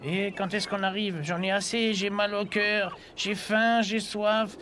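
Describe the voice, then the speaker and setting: A man's voice: sits high, level tone, unhurried, sharp tone, a little hiss or hum. A man voicing a whiny, impatient, reluctant sidekick who complains his way through a dubbed cartoon. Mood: whining. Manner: whining, complaining. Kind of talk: dubbed low-budget cartoon dialogue. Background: music.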